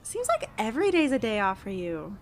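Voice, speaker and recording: A woman's voice: medium pitch, huge pitch swings, a little fast, slight background noise. An actress playing a snide female castle maid who accuses a coworker of laziness, in a sneering voice.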